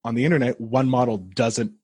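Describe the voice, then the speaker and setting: A man's voice: mid-range voice, fairly even intonation, a little fast, darkish tone, husky, no echo, clean studio sound. A man hosting a podcast and chatting with a guest in an easygoing, agreeable way. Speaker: podcast host. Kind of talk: casual interview chat.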